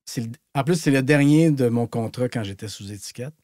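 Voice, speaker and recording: Fast-talking Québécois man in his thirties. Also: medium pitch, dry recording, studio quality. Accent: Québécois French. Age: 30s. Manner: quick, intense, trailing off softly at phrase ends. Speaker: guest musician. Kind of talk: casual podcast conversation.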